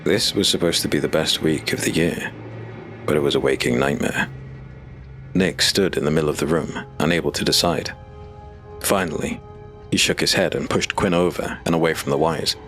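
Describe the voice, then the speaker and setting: A man with a very deep voice narrates a dark fantasy audiobook in measured, dramatic tones. Faint music plays under his reading.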